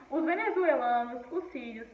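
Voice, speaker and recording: High-voiced teacher in an echoey room. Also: female voice, distant mic, narrow, tinny audio. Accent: Brasília Portuguese. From Paraíba, Northeast Brazil. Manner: explanatory, often checks in with "tá bom?". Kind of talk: recorded class lesson reading from a textbook.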